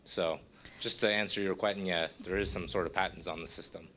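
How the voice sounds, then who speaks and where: Deep, bright-voiced man listing patents. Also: fairly even intonation. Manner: listing items quickly. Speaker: startup co-founder. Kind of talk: answering panel questions. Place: conference room.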